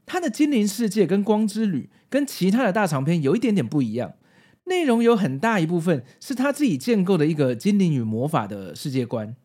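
A man in his forties speaks Mandarin with a Taiwanese accent, narrating like a video essayist. His voice sits a little high and swings widely in pitch. He explains things step by step, with nostalgic, casual asides. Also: sing-song, a little fast, mellow. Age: 40s. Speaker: host.

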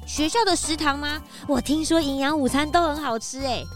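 Woman voicing an eager, very high-pitched little sidekick. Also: normal speed, faint music behind, natural voice. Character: eager, hungry young sidekick of a detective. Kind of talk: children's audio drama acting.

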